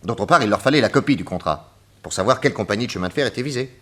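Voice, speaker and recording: An actor playing a shrewd detective in a French radio crime drama, probing and deducing with calm authority. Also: male voice, on the low side, racing. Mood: assured, explaining. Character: shrewd, assured male detective, probing and logical.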